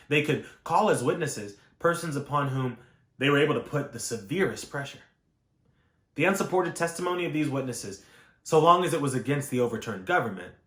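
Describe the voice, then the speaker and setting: A man reading a scholarly history text aloud as a narrator, in a measured, emphatic and formal delivery. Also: medium pitch, a bit bright. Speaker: audiobook reader. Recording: echoey room.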